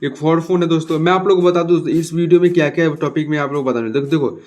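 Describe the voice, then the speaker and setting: A man's voice: fairly high pitch, steady tone, fast talker, a little mellow, highs cut off. A man giving a casual step-by-step phone tutorial, addressing viewers as friends and often checking they follow. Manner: repetitive tag questions, addresses viewers as friends. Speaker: tech tutorial host.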